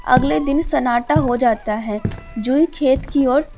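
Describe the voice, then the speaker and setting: Slow, high-voiced woman storyteller. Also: loud music behind the voice. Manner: gentle, measured moralizing. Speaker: storyteller narrator. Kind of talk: scripted cartoon narration with morals.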